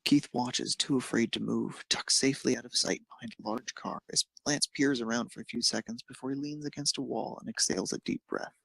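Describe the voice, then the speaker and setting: A man's voice: medium pitch, fairly even intonation, a little fast, creaky, hardly any pauses, a bit bright, no room sound, rough recording. A man narrating a scripted piece of fan fiction as a storyteller.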